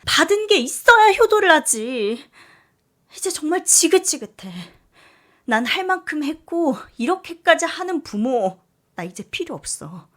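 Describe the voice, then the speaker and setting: A woman voicing a fed-up, bitter young married daughter who speaks in a raised, heated, sharp way. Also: high-pitched, very animated, measured pace, voice pushed up. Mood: furious.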